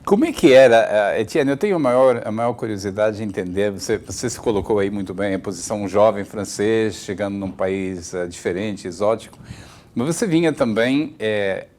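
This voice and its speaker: Low-ish male interviewer, keeps saying uh, formal intro vibe. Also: expressive, normal speed, a little mellow. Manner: frequent filler hesitations.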